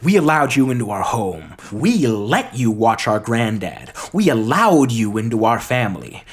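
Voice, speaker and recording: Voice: medium pitch, sing-song, moderate pace, breathy edge, voice pushed down. A performer reciting as an arrogant, spiteful rich young man, a cornered villain with a sneering, entitled manner. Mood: seething. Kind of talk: dramatic recitation of a film scene.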